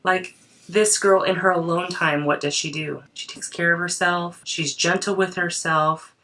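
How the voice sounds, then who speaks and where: Woman vlogger, lowish voice, a bit off-mic, cold in her voice, chatty crafting talk. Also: steady tone, a little slow, sharp tone, distant mic. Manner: chatty, thinks aloud, frequent restarts. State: voice affected by a cold. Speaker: creativity coach. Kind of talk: casual crafting vlog.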